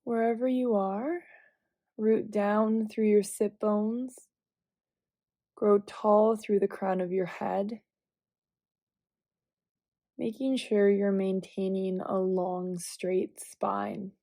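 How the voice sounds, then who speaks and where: Female meditation guide: unhurried, soft-edged mellow tone, gentle cues, very dry close sound. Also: medium pitch, no echo. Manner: calm, gentle, measured cueing with long pauses. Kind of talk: guided visualization meditation, instructional.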